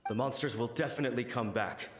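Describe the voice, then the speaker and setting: A man's voice: mid-range voice, quick pace, close to an everyday voice. A man playing a staunch, loyal samurai soldier in an anime game, formal and earnest. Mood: urgent, respectful. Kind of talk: dramatic anime game voice acting. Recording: echoing reverb with game music.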